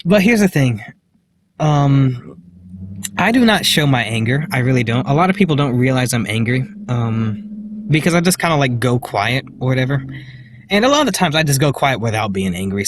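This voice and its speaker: A soft-spoken young man wanders outside and talks into a phone held in his hand. His voice sits at an ordinary middle pitch. He rambles through a personal story, leaning on fillers and putting himself down with nervous little laughs. A faint hum of the outdoors runs underneath. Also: expressive, fairly quick. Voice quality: quiet voice. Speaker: vlogger. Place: outdoors, walking in public. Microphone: handheld phone.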